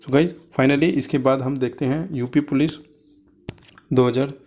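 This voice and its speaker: A man speaks as a coaching presenter at a middle pitch. He explains things informally, one step after another, addressing students directly and dropping English terms into his sentences. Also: fairly even intonation, measured pace, a little mellow.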